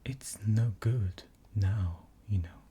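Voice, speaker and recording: Woman doing a weeping gentle faun, gravelly husky whisper, slow storybook telling. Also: a bass voice, expressive, slight background noise, heavily acted. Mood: sobbing. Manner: sobbing, whispery delivery. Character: sorrowful male faun, gentle and guilty.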